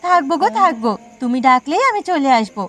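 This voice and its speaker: A woman's voice: sits high, big pitch swings, quick pace, a bit bright. A woman voicing an eager, helpful village neighbour in a cartoon, gushing and chatty. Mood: eager, admiring. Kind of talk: cartoon voice acting.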